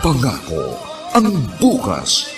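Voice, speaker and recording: Filipino man, radio drama announcer, solemn, theatrical, sweeping intonation, clear music bed. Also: fairly low pitch, wildly swooping pitch, takes it slowly. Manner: grandiose, poetic delivery. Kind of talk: solemn theatrical scripted narration.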